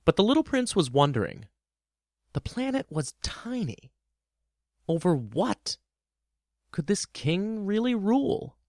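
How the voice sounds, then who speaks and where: A man's voice: high voice, sing-song, unhurried, long pauses, darkish tone, no room sound, clean studio sound. A man narrating a scripted storybook tale for a radio drama.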